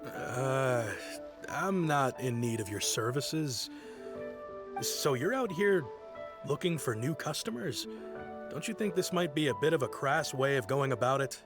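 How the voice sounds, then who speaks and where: A man as a brusque, expressive busy townsman. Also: mid-range voice, a little slow, over music, natural voice. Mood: dismissive. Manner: curt, hesitant, disapproving. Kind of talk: video game voice acting.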